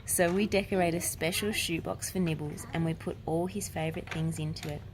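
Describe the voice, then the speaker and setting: Low-pitched woman reading a storybook, noisy background. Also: fairly even intonation, a little fast. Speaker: narrator. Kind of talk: children's storybook read-aloud. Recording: clear background noise.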